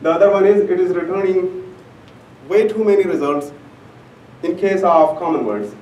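A man's voice: sits high, normal speed, a little mellow. A young man whose first language is Urdu, giving an academic conference talk with many fillers and restarts. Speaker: conference presenter. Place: conference room, large and indoors. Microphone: room camera mic from far off. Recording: echoey room, distant mic, faint hum.

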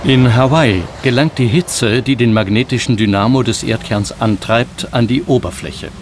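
A man reading scripted documentary narration in a measured, explanatory manner. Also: mid-range voice, noisy background. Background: background music.

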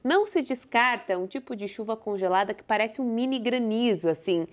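Lively young woman weather presenter. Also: fairly high pitch, fairly quick. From Brazil. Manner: lively, playful, gesturing while presenting. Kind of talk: broadcast weather forecast. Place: TV studio in front of a video wall.

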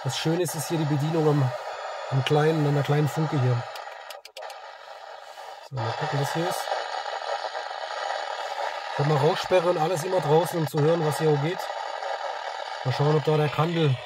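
A man's voice: on the high side, steady tone, moderate pace, long pauses. A middle-aged man, chatting casually as he tests a CB radio in a parked car. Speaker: amateur CB radio operator and vlogger. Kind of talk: casual chat and commentary while testing a radio. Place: inside a parked car in a forest. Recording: clearly noisy, radio static in car. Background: loud noise, radio hiss.

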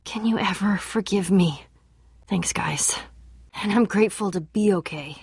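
A woman playing a feisty, sardonic young warrior in a video game, with a wry, expressive delivery. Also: medium pitch, measured pace, creaky, breathy edge, no room sound, clean studio sound, full character voice. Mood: remorseful. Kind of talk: animated game voice acting.

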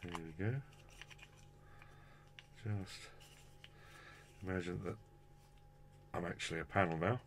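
A man's voice: low voice, fairly even intonation, takes it slowly, long pauses, noisy background. A British man in his fifties presenting an unscripted product review in a casual, chatty, rambling, self-deprecating way. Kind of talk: casual unscripted unboxing commentary. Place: small cluttered room indoors.

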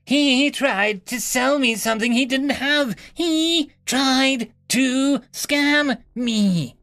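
A man voicing a shrill, entitled human woman who shouts her demands at an officer in an audio story. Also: extremely slow, full character voice. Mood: shrieking. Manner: shrieking, demanding, furious.

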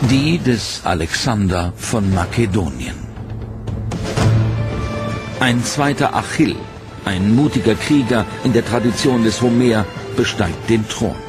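A man giving scripted, measured documentary narration in a dramatic storytelling manner, over a music bed. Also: lowish voice, expressive. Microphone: studio voice-over mic.

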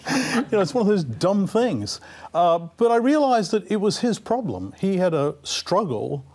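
A man's voice: high voice, sing-song, normal speed, a little mellow. A man in his fifties on a studio panel, telling a personal story in a reflective way with a lapel mic. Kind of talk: panel discussion.

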